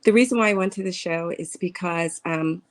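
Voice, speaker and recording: A woman in her fifties, a guest in an interview, telling her own story in an earnest, halting way with many pauses and fillers. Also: fairly low pitch. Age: 50s.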